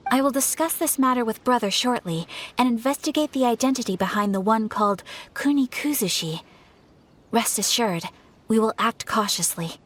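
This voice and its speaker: A woman playing a poised, dutiful young noblewoman in an anime-style game, speaking formally and with measured reassurance. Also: fairly high pitch, animated, a little hiss or hum, lightly acted. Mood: composed, concerned.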